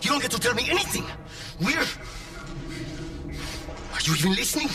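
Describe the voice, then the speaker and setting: A man voicing a frightened, bitter pilot who speaks sarcastically and breaks into desperate shouts. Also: very high voice, sing-song, a little fast, far from the mic, full character voice. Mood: angry despairing. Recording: game audio with music, off-mic.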